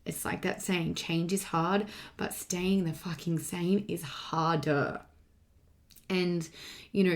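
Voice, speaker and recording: A woman with a slightly low voice delivers a motivational solo podcast monologue. She talks like a coach, casual but emphatic, and restates her main points. Her voice sounds a touch strained, as if not at full strength. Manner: emphatic, repeats key points, casual swearing. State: voice slightly strained from partly losing it.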